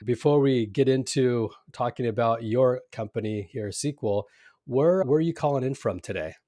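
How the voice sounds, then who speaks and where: Man from California, podcast host, friendly questions, mid pitch, dry recording. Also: moderate pace, dark tone, no echo. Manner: friendly, scripted intro then loose questions. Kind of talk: podcast interview hosting.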